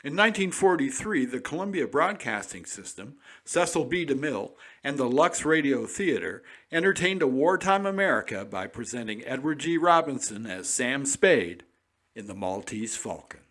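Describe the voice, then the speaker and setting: A male announcer with a medium-pitched voice delivers scripted promotional narration. He is emphatic and dramatic, with lively swings in pitch. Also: moderate pace.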